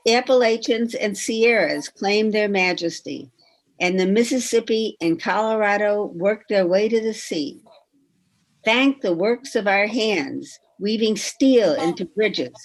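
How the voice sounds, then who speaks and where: Female poetry reader, mid-pitched, slow and deliberate delivery. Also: rough recording. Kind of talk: reading a poem aloud.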